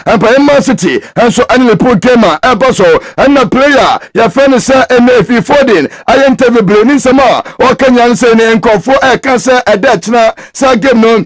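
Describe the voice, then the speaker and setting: A man's voice: extremely high pitch, moderate pace, few pauses, no echo. A man rattling off football results and league tables, mixing English words into his speech. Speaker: sports news presenter. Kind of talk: fast football results rundown.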